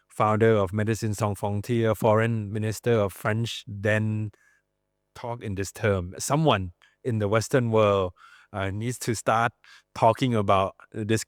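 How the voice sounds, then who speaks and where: A man speaking as an academic guest at a seminar, halting, repeating words and tagging points with "right?". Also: on the low side, dry recording, studio quality. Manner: halting, repeats words, rhetorical tag questions. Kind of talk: seminar answer, informal academic talk. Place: seminar room.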